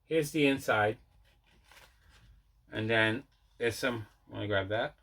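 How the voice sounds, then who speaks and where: A man's voice: mid-range voice, slow pace, a bit bright. A man hosting a collecting show, chatting casually as he shows off what he picked up. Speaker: host of a collecting video show. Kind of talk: casual unboxing chat.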